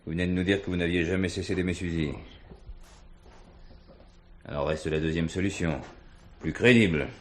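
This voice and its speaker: A man acting a shrewd police commissioner in a French 1970s police drama, questioning in an unhurried, pressing way. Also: deep voice, fairly even intonation, close to an everyday voice. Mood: coolly insistent.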